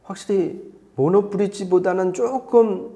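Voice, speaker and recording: A man with a fairly high voice and a mellow, dark tone. He presents as a hi-fi expert in a conversational teaching style, laying things out step by step and restating key points. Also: a little slow. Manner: didactic, explains step by step with repetition. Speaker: hi-fi expert presenter explaining audio setups. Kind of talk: conversational explainer.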